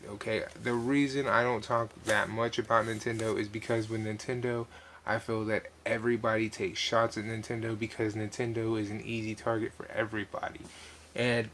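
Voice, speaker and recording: A man giving a casual, opinionated rant as a gaming vlogger, speaking emphatically and haltingly, repeating himself and swearing casually. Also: lowish voice, flat delivery, fairly bright tone, slight background noise. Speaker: video game vlogger.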